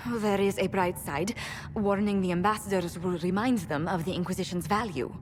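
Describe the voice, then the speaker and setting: A woman's voice: on the low side, a little fast, lightly acted. Reverberant, poised diplomat played by a woman. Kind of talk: dramatic video game acting. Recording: reverberant hall, clear background noise.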